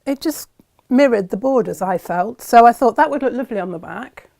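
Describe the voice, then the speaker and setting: A softly spoken woman co-hosting a live craft shopping show and describing fabrics. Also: a little high, lively intonation, a little fast, gravelly.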